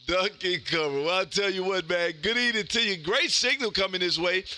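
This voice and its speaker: A man in his fifties with a loud, exuberant, folksy manner, chatting casually as he hosts amateur radio contacts. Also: sits high, brisk. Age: 50s. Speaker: amateur radio operator hosting a live stream. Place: indoor home radio room.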